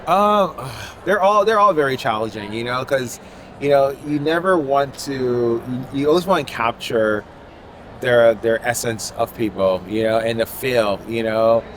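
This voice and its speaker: A man's voice: medium pitch, unhurried, slight background noise. A man from Haiti, an artist, talking loosely in an interview at an outdoor art fair. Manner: loose and rambling, leaning on filler phrases. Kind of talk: casual interview.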